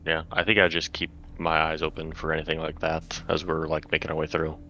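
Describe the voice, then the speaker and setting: A man's voice: extremely low pitch, completely flat delivery, brisk, sharp tone, slight background noise. A man chatting casually as a player in a tabletop game, hesitant in his phrasing. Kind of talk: casual chat. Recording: dull recording with chatter behind.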